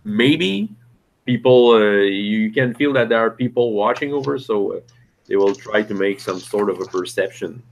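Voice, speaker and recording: A man's voice: fairly low pitch, lively intonation, vocal fry, slight background noise, low-quality recording. A man with French as his first language, narrating a tabletop game as game master with many restarts. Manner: halting, many restarts and fillers.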